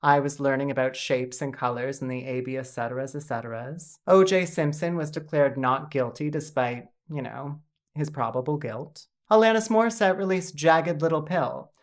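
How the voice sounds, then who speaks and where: Deep-voiced woman doing sarcastic scripted video essay narration, deadpan jokes.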